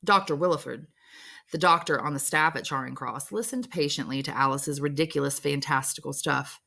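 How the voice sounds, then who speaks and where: A woman's voice: low-pitched, fairly quick, vocal fry, bright, forceful tone. A woman narrating a novel aloud as an English teacher would, reading scripted storybook prose.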